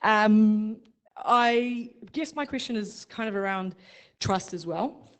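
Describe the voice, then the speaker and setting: A woman's voice: medium pitch, slow, clean tone. Female resident, New Zealand accent, frustrated, pointed. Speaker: resident speaking at public meeting. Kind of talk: public meeting question.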